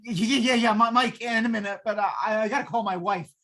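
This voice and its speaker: Woman doing flustered stammering guy in old radio play, raspy, highish, hapless. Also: fairly even intonation, quick pace, a bit bright. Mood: flustered, pleading. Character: frantic, hapless working man, henpecked and stammering. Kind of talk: old-time radio drama acting.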